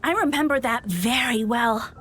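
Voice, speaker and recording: A woman's voice: fairly high pitch, moderate pace, a bit bright, faint music behind, lightly acted. A woman voicing a lovestruck, easily flustered young flower seller in a video game, with a wistful manner.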